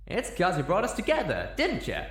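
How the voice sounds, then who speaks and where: Guy doing a folksy girl pony, pitched up, firm but caring, storybook, roomy. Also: wildly swooping pitch, fairly quick. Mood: firm, reassuring. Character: folksy, stern but caring country mare.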